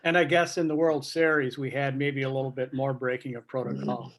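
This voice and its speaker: Male panelist for casual video-call talk, measured and reflective, mid-pitched voice. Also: flat delivery. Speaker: sports writer on a panel.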